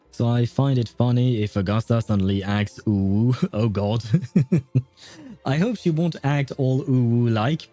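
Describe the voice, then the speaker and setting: Mellow, chatty male game streamer. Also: mid-range voice. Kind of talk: casual livestream commentary, reading game dialogue aloud.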